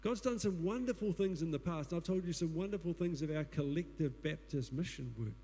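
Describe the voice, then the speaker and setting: A man with a New Zealand accent and a booming voice preaching a sermon, putting earnest questions to the congregation. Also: brisk, dark tone. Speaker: guest preacher. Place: church hall. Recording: long hall reverb.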